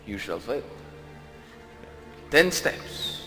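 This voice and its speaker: A man's voice: medium pitch, big pitch swings, very slow. A man playing a pompous, villainous count in a comic melodrama, theatrical and gloating. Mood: menacing, gloating. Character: pompous villainous count who dotes on his hamster. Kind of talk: comic melodrama audio acting. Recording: long reverb, loud music behind.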